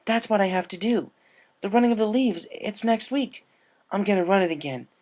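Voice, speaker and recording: An earnest, stubborn cartoon pony mare as a male reader puts her on. The voice sits somewhat high and carries open emotion, suited to a heartfelt storybook tale. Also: animated, moderate pace, darkish tone. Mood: excited. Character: excitable, earnest female cartoon pegasus pony, grateful and stubborn.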